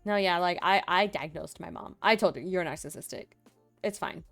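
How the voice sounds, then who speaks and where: A young woman streamer in casual chat at home, talkative and laughing often, acting out quotes in a higher voice. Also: moderate pace, a bit bright, no echo, faint music behind. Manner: chatty, laughs often, acts out quotes in a high voice. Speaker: streamer host. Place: home room.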